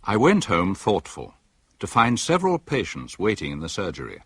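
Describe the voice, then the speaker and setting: A man's voice: sits low, wildly swooping pitch, normal speed, fairly bright tone, slightly rough, no echo, heavily acted. A man playing a reflective British country doctor who narrates his own story in a radio drama.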